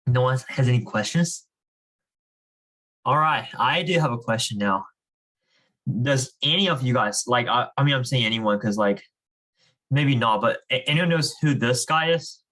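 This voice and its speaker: A man's voice: mid-range voice, moderate pace, long pauses, fairly bright tone, poor audio. Male reading club leader, casual and encouraging, teaching a group over a video call.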